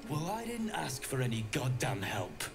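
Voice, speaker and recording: Surly, animated adventurer. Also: male voice, mid-range voice, normal speed, creaky. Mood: scornful. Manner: combative, sarcastic. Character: surly, defiant male adventurer, ungrateful and brash. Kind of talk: dramatic fantasy video game acting. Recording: game music behind the voice.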